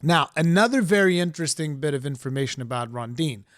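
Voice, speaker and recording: A man from Portugal, co-hosting a podcast, telling stories in a casual, animated, emphatic way. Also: fairly high pitch, fast, dry recording, clean studio sound. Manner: animated storytelling, emphatic asides. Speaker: podcast co-host. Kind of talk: casual chat.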